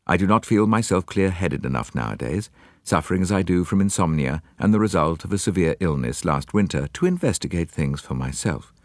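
A man's voice: low-pitched, animated, a little fast, dry recording, clean studio sound, pitched below the performer's own voice. A man reading as a formal, cautious old lady. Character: anxious elderly woman writing a letter.

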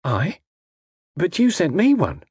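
Guy doing a young lady in an audiobook, way up high, anxious, emotional and earnest. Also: expressive, moderate pace, breathy edge, no echo, studio quality. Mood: bewildered. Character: anxious, earnest young woman in an adventure story. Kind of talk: audiobook character voice.

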